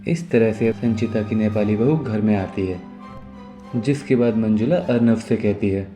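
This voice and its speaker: Mellow, low-pitched male storyteller. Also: measured pace. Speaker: narrator. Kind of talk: scripted storytelling narration. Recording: music behind the voice.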